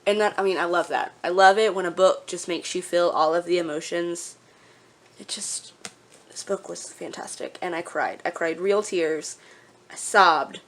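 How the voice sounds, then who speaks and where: A woman's voice: fairly low pitch, steady tone, normal speed. A woman vlogger chatting casually to her viewers in a gushing, emphatic way. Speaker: book vlogger.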